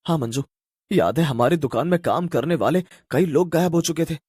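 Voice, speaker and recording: Husky, earnest young husband, acted by a man. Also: on the high side, moderate pace, darkish tone, no echo, studio quality. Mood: worried. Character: worried young husband working at a pizza shop. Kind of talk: animated cartoon story voice acting.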